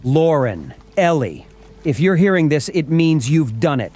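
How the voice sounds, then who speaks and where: An actor playing an earnest, regretful uncle in an audio drama, speaking solemnly and tenderly with deliberate pauses. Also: male voice, on the high side, sing-song, darkish tone. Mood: grave, proud. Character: earnest, regretful uncle leaving a last message.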